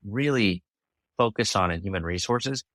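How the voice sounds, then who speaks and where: A man's voice: fairly low pitch, normal speed, a bit bright, dry recording. A man hosting a podcast, talking in a conversational interview style. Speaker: podcast host.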